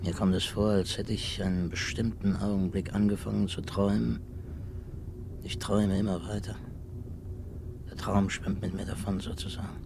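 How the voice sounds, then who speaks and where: An actor playing a weary, timid older former cashier in a radio drama, ruminating formally and putting himself down. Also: male voice, fairly low pitch, little pitch movement, moderate pace, vocal fry. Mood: brooding, fearful. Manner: ruminating, self-deprecating, formal. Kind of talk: 1950s radio drama acting. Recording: noisy, aged 1950s radio recording.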